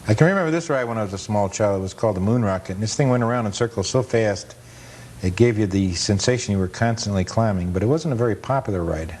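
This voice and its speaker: A man's voice: fast talker, darkish tone, slightly rough. A man giving casual, matter-of-fact commentary over old footage, full of detail and anecdote. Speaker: guest film collector and amusement park historian. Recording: noisy rumbly 1980s cable TV audio.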